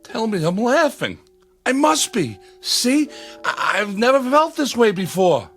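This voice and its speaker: An actor playing a timid, deferential employee, speaking in a hesitant, polite way in a radio drama. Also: male voice, very high-pitched, huge pitch swings, unhurried, faint music behind, full character voice. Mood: desperate. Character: timid, deferential male employee unsure how to have fun.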